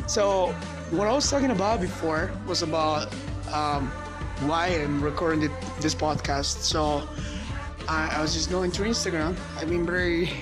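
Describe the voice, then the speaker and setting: A man's voice: fairly high pitch, moderate pace, music in the background. A man whose first language is Spanish, giving a rambling, motivational solo podcast monologue in casual chat.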